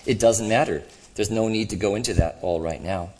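A man voicing a gentle, cheerful redeemed spirit in a storybook reading, patient, humble and lightly amused. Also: on the low side, fairly quick, natural voice. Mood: mildly amused.

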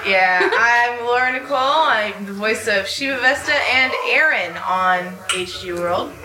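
A woman's voice: on the high side, unhurried. A woman chatting casually as a panelist at a live outdoor convention recording. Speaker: voice actor, panelist. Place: outdoor hotel courtyard at a convention. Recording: echoey, with crowd noise.

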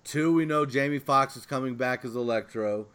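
Male podcast co-host, average pitch, informal fan-to-fan chat. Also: normal speed, a bit bright, smooth. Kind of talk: casual pop-culture chat.

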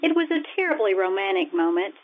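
A woman's voice: mid-range voice, very animated, fast, natural voice. A woman playing a wry, wisecracking schoolteacher with a dry, sardonic delivery in an old-time radio sitcom. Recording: tinny, band-limited radio-style recording.

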